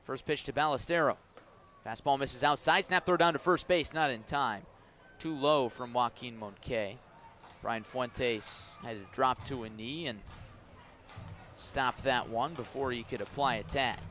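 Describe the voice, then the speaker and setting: A man calling baseball play-by-play for radio, conversational and storytelling between pitch calls, with clearly structured sports commentary delivery. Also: unhurried, pushed and bright, a little hiss or hum.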